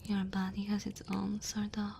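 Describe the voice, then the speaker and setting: A woman's voice: mid-range voice, lightly acted. A woman voicing a lonely, caring dragon in an intimate, tender ASMR roleplay. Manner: slow, tender, breathy murmuring. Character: dominant but caring, lonely female dragon. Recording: steady ambient fire and cave noise. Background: crackling fire ambience.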